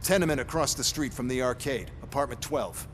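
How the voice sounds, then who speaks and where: An actor playing a curt, menacing superior who gives brusque, commanding orders in a video game. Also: male voice, brisk, faint music behind, close to an everyday voice. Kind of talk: video game cutscene acting. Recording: long reverb, faint rumbling noise.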